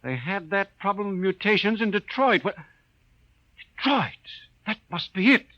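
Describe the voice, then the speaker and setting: An actor playing an absorbed, excitable male scientist in a 1950s radio drama, full of eager wonder as he explains. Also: high-pitched, normal speed. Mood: excited. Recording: muffled vintage 1950s radio recording.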